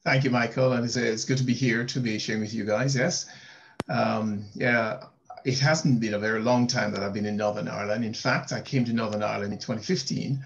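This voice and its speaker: A man in his fifties, a Moravian minister, speaking deliberately on a video call from his book-lined study. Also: medium pitch, fairly even intonation, gravelly, a little hiss or hum. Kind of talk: panel self-introduction. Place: home study with bookshelves, indoors. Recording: dull lo-fi audio.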